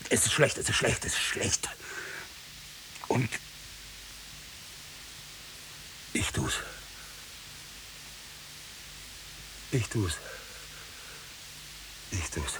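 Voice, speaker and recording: An actor playing a tormented condemned farmer wrestling his conscience, halting and intense, in 1950s German radio drama style. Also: fairly low pitch, sing-song, noisy background.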